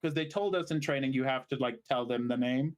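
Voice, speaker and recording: A man from Zimbabwe co-hosting a podcast, chatting casually and telling stories in a halting, rambling way. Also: medium pitch. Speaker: podcast co-host. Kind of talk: casual chat and storytelling.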